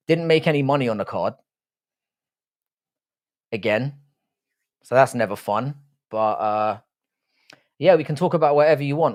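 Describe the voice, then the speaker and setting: A relaxed man in his thirties with a South London accent hosts a late-night stream from his desk mic. He chats easily, answers viewers' comments and swears freely. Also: mid-range voice. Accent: South London English. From Ireland. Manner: laid-back, chatty, swears freely. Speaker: livestream host. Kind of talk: casual livestream chat reading viewer comments.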